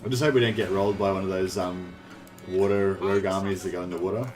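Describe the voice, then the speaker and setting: An Australian man chatting casually on a live game stream, rambling and halting, with some swearing. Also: sits low, fairly even intonation, darkish tone. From New South Wales, Australia. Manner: rambling, halting, foul-mouthed. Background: faint game music.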